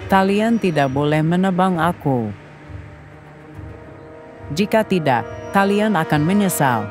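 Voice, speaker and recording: Wanita menyuarakan roh pohon ek tua yang memohon. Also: female voice, on the low side, animated, slow, a little mellow, faint music behind. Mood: pleading. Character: gentle ancient female oak-tree spirit. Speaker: tree dryad. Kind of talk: dubbed cartoon voice acting.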